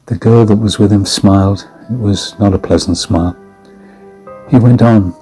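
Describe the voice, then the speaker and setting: A man with a very deep, dark voice narrates horror fiction over music. His storytelling is measured, grave and dramatic. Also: breathy edge, poor audio.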